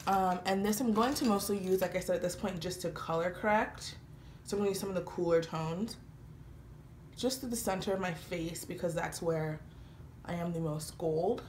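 A young woman with a lowish voice, a little stuffy from a cold, chatting easily as she works through her makeup. Her words ring around a small, echoey room, and a faint hiss sits underneath. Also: normal speed. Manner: chatty, relaxed, frequent fillers. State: slightly congested from a cold. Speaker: beauty vlogger. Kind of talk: casual makeup tutorial talk. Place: small indoor room, patterned wall with lights. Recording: roomy echo, faint background hiss.

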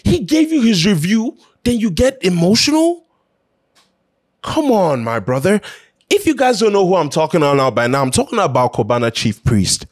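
A man's voice: high-pitched, big pitch swings, normal speed. A man hosting an opinion show, talking casually and emphatically in a conversational way, repeating phrases to drive his points home.

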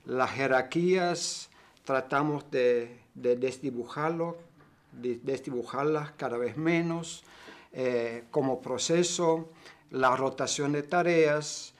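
An older man talks very slowly, stopping often to search for words and restarting phrases. He is from Germany and answers interview questions while seated in a bright room by tall windows. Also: medium pitch. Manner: slow, halting, many fillers and restarts. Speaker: cooperative member.

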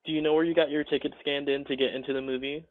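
Polite, halting man on a tinny phone. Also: a little high, flat delivery. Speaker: cinema customer service employee. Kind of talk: phone customer service. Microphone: telephone call. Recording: tinny muffled phone line.

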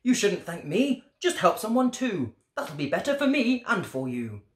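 A woman's voice: medium pitch, sing-song, normal speed, voice pushed up, close to an everyday voice. A kind, brave little mouse from a storybook, read by a woman, earnest and encouraging. His speech rises and falls widely in an echoing room.

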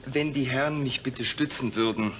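An actor playing a wary, wry astronaut in a new body in a German radio play. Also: male voice, medium pitch, normal speed, lightly acted. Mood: wary, questioning.

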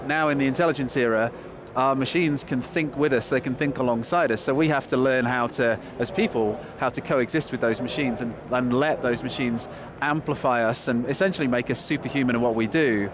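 An energetic man with a Northern English accent talks through his answers fast, with ums and restarts. His voice sits in an average range. He was recorded on a lapel mic with clear trade show noise behind him. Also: mid-range voice. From England. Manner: quick, enthusiastic, with fillers. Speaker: guest, company chief executive. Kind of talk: conversational interview answers. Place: convention hall floor.

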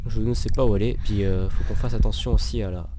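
A young man with a stuffy cold, giving jumpy let's play commentary at his microphone, swearing and joking to calm himself. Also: quick pace, noisy background. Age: young adult. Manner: jumpy, swears, jokes to stay calm, squeaks up when scared. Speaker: gaming youtuber. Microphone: desk microphone.

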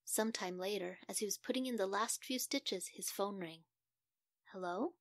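A woman's voice: mid-range voice, moderate pace, no echo, clean studio sound. A woman narrating a scripted children's story as a storyteller.

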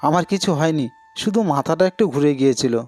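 A man's voice: a little high, quick pace, darkish tone, no echo, lightly acted. A man voicing a poor, self-sacrificing village labourer and elder brother in a cartoon, speaking earnestly and with pleading deference. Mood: earnest, weary. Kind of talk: Bengali moral-story cartoon acting.

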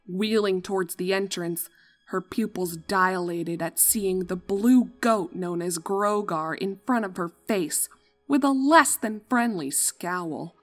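A woman reading a scripted story as narrator, with a dramatic and expressive delivery. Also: mid-range voice.

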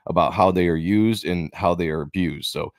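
Deep-voiced man ranting about comics. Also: normal speed, no room sound, studio quality. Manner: rambling, opinionated, repeats himself. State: losing his voice, coughing. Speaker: comic book channel host. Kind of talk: casual opinion commentary.

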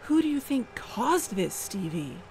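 Woman acting a dogged, high-voiced podcast investigator. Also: very animated, a little slow, a little mellow, slight background noise. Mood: urgent. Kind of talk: audio drama acting with narration.

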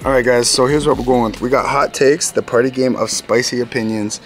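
A man's voice: mid-range voice, fairly even intonation, brisk, faint music behind. A man in his thirties chatting casually to viewers as a family vlogger, upbeat and talkative, recorded on a handheld camera inside a large store. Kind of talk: casual vlog chat. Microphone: handheld camera at arm's length.

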